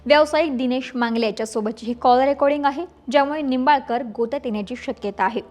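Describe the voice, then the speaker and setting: A woman's voice: sits high, quick pace, darkish tone. A woman, reading scripted news narration as a presenter.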